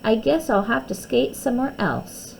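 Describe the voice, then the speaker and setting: Bossy little girl, lively pitch, acted by a woman. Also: normal speed, creaky, slight background noise, poor audio. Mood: indignant. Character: bossy, quarrelsome young girl who owns a doll. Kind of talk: children's storybook character voice.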